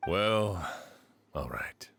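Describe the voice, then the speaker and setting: A weary low-voiced sheriff. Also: male voice, lively intonation, very slow, gravelly, a bit bright, slight background noise, heavily acted. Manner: weary, sighing. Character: tired, fair-minded male sheriff.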